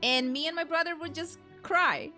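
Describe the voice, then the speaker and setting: A woman's voice: extremely high pitch, normal speed, pushed and bright. A young woman giving casual, chatty let's play commentary as a streamer, with a giggly, hesitant way of talking. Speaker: streamer playing a video game. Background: game music.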